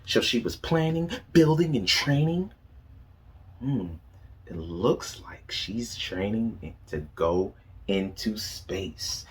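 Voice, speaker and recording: Guy teacher reading a storybook to little kids, super lively pitch, slow and patient. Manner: animated, asks children questions and praises them. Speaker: teacher reading aloud to children. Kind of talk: children's picture book read-aloud.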